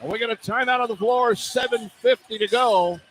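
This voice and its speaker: A man calling live basketball on the radio in clipped running descriptions that rise into excited calls, as a play-by-play announcer. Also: very high voice, a little fast, poor audio. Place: indoor college basketball arena. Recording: clear arena noise behind the voice.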